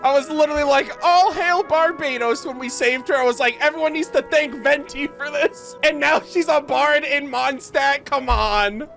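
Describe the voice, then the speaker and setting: A woman's voice: high-pitched, moderate pace, creaky, few pauses, fairly bright tone, faint music behind. A woman streamer giving slangy, gushing, exclamatory reaction commentary on a video game.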